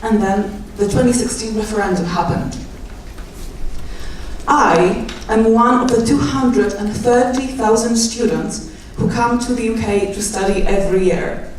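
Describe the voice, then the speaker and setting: A young woman with a Polish accent speaks in a measured, wry way, her voice caught from a distance in a very echoey hall. Her pitch sits in a mid range. A faint hiss lies underneath. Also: darkish tone. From Poland. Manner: measured, wry, self-deprecating asides. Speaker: guest speaker, doctoral student. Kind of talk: prepared rally speech. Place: large indoor hall at a rally. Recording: echoey hall, mic from afar.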